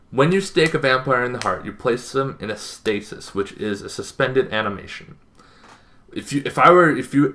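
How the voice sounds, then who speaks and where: A man's voice: mid-range voice, fairly bright tone. Emphatic young man explaining casually. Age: young adult. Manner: emphatic, dramatic pauses and short punchy phrases. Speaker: tabletop gaming video host. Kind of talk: casual explanatory talk to camera. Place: small bedroom, indoors.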